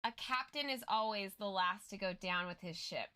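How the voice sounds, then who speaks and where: A woman's voice: medium pitch, moderate pace, pushed and bright, clear, resonant tone. A woman co-host giving casual, chatty commentary on a fantasy story recap.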